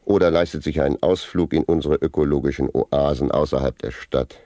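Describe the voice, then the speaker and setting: Very deep-voiced pedantic police official. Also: male voice, fairly even intonation, fairly quick, warm tone, no room sound, studio quality. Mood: officious, didactic. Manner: formal, bureaucratic, lecturing. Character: pedantic senior narcotics police officer in dystopian satire. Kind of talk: satirical radio drama interview.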